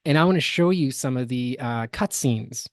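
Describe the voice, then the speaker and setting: A man co-hosting a podcast, explaining things in a casual commentary style with halting, emphatic phrasing. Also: medium pitch, no room sound, clean studio sound. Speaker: podcast co-host.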